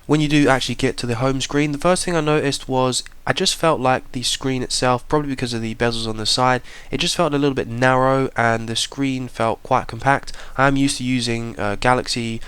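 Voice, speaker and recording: Smooth-voiced man reviewing a budget phone. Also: mid-range voice, steady tone, fairly bright tone, no echo. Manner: plain, measured, explanatory. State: slight cold. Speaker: tech reviewer. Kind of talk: voice-over product review.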